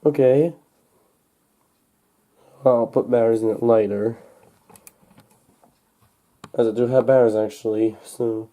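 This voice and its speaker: A young man recovering from a cold, narrating a casual unboxing in a halting, unscripted way, thinking aloud as he goes. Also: mid-range voice, steady tone, dark tone. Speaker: hobbyist vlogger. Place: indoors at home.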